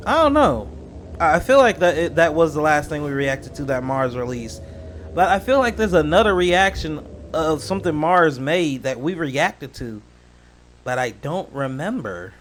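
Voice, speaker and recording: A man's voice: fairly high pitch, sing-song, moderate pace, a bit bright, slight background noise. A man doing casual reaction commentary as a host, slangy and joking, drifting into rambling asides.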